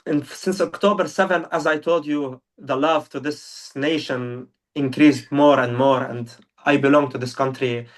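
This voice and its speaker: Young male guest, non-native English, earnest, fillers and restarts, distant mic. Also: on the high side. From Lebanon. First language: Arabic. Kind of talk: casual interview talk. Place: now living in Germany.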